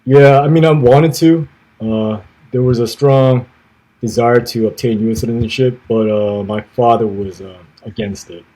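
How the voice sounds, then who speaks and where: Soft-spoken, husky man. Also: mid-range voice, fairly even intonation, low-quality recording. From grew up in the United States. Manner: measured, many "uh" pauses, trailing off softly at phrase ends. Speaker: podcast guest, former navy officer. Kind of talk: conversational interview answers.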